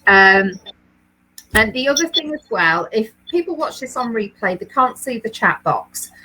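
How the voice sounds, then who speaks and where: A woman's voice: a little high, lively intonation, a little slow, bright, forceful tone, slightly rough, poor audio. A woman from Leeds, co-hosting a knitting livestream from home, chatting casually with lots of ums and easy laughs. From England, Leeds area. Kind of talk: casual chat, reading viewer comments.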